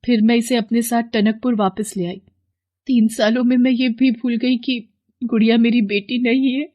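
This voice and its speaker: A woman voicing a poor village mother in an animated story, speaking tenderly and tearfully. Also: a little high, moderate pace, highs cut off, natural voice.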